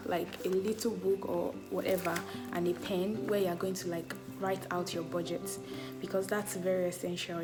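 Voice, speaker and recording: A young Nigerian woman vlogs to her camera in a loud, chatty voice, her sentences full of "like" and little laughs. There is no separate microphone, just the camera picking her up. Music plays clearly underneath her. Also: fairly low pitch. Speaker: travel vlogger. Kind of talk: casual talk to camera. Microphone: camera mic, no external mic. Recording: background music.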